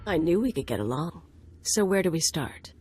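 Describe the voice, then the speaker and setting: Low-voiced, brash mercenary. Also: female voice, very animated, moderate pace, darkish tone, slight background noise. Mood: sardonic, cocky. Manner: sardonic, cocky. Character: brash, sardonic tough mercenary woman. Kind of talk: video game cutscene acting.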